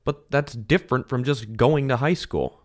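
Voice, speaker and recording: A man's voice: medium pitch, normal speed, lightly acted. A man playing a reserved, polite, brooding rich teenage boy in an audio drama, hesitant and understated. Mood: weary, serious.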